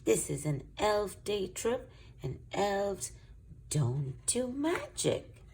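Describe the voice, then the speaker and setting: A woman's voice: mid-range voice, very animated, very slow, a little mellow, slight background noise, heavily acted. A woman voicing a sensible, proud, easily flustered elf father in a storybook, with a firm, declamatory manner.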